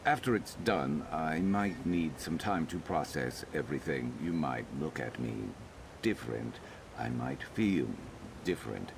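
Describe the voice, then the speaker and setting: A battle-worn male reptilian warrior from a fantasy video game. The voice sits extremely low and rumbles with heavy gravel. He speaks in a measured, dry and guarded manner, like someone who chooses plain words. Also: lively intonation, background noise, exaggerated voice. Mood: earnest, hesitant. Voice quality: very deep, gravelly. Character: weary, guarded male Argonian lizard-folk warrior, former slave. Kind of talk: video game character dialogue.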